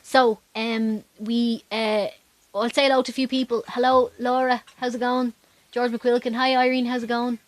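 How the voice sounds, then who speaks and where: A woman's voice: fairly high pitch, little pitch movement, moderate pace, dry recording. A woman from Dublin with an Irish accent, chatting casually and informally as a folk singer hosting a livestream, talking to viewers between songs. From Dublin, Ireland. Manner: chatty, informal, greeting people by name. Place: small wooden garden shed.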